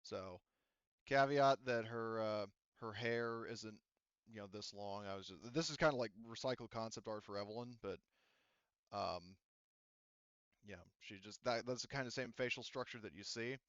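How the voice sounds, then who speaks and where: A man's voice: on the low side, normal speed, fairly bright tone, smooth, no room sound, lo-fi sound. A man working as a game master, narrating and explaining a tabletop roleplaying game in a rambling, informal way.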